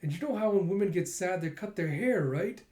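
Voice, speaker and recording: Man voicing a high-pitched, flustered young woman assassin. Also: lively intonation, brisk, darkish tone. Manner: earnest, flustered protests. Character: young woman assassin, earnest, flustered yet stubbornly resolute. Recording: roomy echo.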